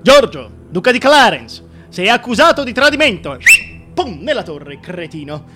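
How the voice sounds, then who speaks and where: An actor playing a scheming, bitterly sarcastic tyrant in a theatrical radio monologue, volatile and sardonic in delivery. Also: male voice, sits high, big pitch swings, moderate pace, over loud music. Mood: sardonic, exasperated. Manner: sardonic, volatile, swinging from hushed musing to outbursts.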